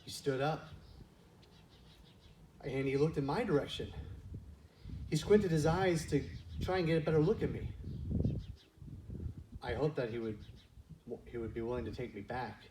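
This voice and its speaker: A man playing a humbled, yearning prodigal son in a church reader's theater skit, telling his story earnestly with dramatic pauses. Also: medium pitch, close to an everyday voice. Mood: amazed. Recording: distant mic, noisy room.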